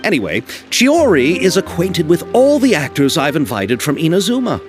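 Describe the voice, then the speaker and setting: Earnest, animated young film director. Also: male voice, on the high side, normal speed, faint music behind. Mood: friendly, explaining. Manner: polite, hesitant, nervously apologetic. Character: earnest, flustered young male film director, polite and hopeful. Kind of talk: video game voice acting.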